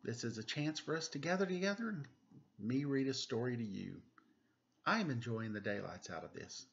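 A man's voice: mid-range voice, lively intonation, brisk, gravelly. A man in his forties hosting a children's storytime at home, reading a picture book with playful, chatty asides. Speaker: storytime host and reader. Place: room at home. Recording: dull lo-fi webcam sound.

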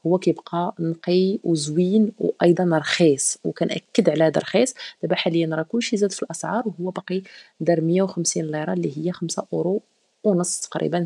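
A Moroccan woman rattles through a travel vlog voice-over, low and gravelly, with frequent fillers and pauses. The sound is dry and close, with no room around it. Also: dark tone, no echo. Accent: Moroccan Arabic. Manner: chatty, frequent hesitations and fillers. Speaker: travel vlogger.

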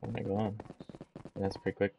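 A man streaming a game, chatting casually in a relaxed, rambling way over a headset. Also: lowish voice, moderate pace, vocal fry, highs cut off, noisy background. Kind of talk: casual gaming chat.

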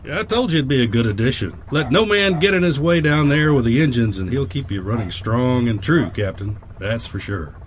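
Folksy insistent guy male actor, protective brother type, lively swings in pitch, audio drama with some noise behind. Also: fairly quick, hardly any pauses, lightly acted. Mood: assured. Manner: folksy, plain-spoken, insistent. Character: gruff, protective younger brother, ex-navy airship man.

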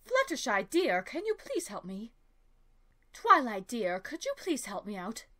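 A woman's voice: fairly high pitch, wildly swooping pitch, measured pace, bright, forceful tone, heavily acted. Woman voicing an elegant, lilting unicorn pony. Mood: affectionate.

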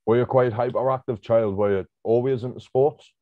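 Halting host, dull dry sound. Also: male voice, medium pitch, steady tone, no echo. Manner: halting, restarts questions. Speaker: podcast host. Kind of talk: interview questions. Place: home studio room.

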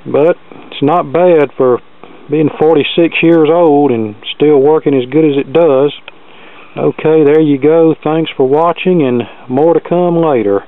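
A man's voice: a little high, measured pace, no room sound, phone-quality sound. A plain-spoken, folksy man casually demonstrating a gadget as host, recorded on a camera's built-in microphone. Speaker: host demonstrating vintage electronics. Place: cluttered indoor workbench.

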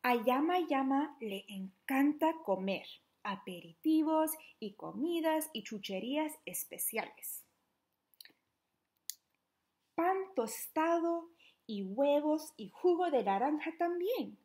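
A young adult woman reading a children's book aloud interactively, in an animated, playful, questioning manner. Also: sits high, slow. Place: small living room at home, indoors.

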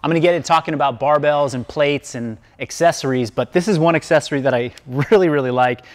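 A man's voice: on the high side, fast talker, slight background noise. Upbeat man leading a gym tour. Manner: enthusiastic, explanatory. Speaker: fitness coach giving a gym tour. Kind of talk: upbeat presenter walkthrough. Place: large indoor gym. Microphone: lapel mic.

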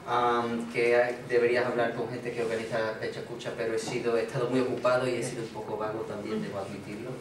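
A man's voice: medium pitch, level tone, fast, few pauses, background noise. A man who teaches English introducing himself at a meetup, rambling and correcting himself as he goes. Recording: very echoey room, distant mic.

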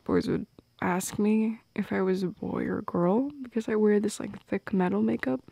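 A young woman playing a shy, teasing teenage goth girl in an intimate ASMR roleplay, speaking softly and hesitantly, often slipping into a whisper. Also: mid-range voice, no room sound. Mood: wounded, wry. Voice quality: soft and breathy, slipping into whispers. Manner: hesitant, teasing, halting with small laughs. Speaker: audio roleplay performer. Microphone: close microphone in a dry space.

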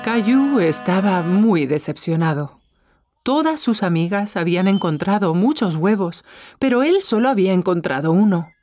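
A woman's voice: medium pitch, expressive, normal speed, darkish tone, faint music behind, lightly acted. A woman narrating a scripted children's cartoon story for young listeners.

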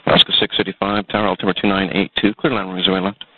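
A man's voice: low voice, flat delivery, racing. A man working as an air traffic controller, speaking over a radio link. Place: airport control tower. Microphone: radio transmitter. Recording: muffled, narrow-band air traffic radio.

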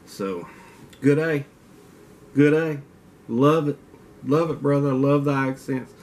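A man's voice: mid-range voice, takes it slowly, darkish tone, a little hiss or hum. A man in his forties with a Kentucky southern drawl, rambling casually to a desk webcam in a den and trading teasing trash talk. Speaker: outdoors channel host. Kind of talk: casual vlog talk to camera. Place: indoor den with mounted antlers.

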